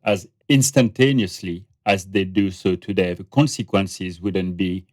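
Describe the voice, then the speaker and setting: Guest spot: French-accented man, low deep voice, reflective podcast guest. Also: unhurried, a little mellow, no echo, clean studio sound. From France. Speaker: guest, brand researcher and author. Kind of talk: podcast interview conversation.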